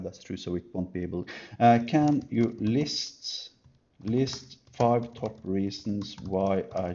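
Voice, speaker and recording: A man speaks in a low, very mellow voice as he talks through an unscripted product demo. He is emphatic, halting now and then to think aloud. The recording sounds slightly dull and lo-fi. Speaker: company presenter comparing products.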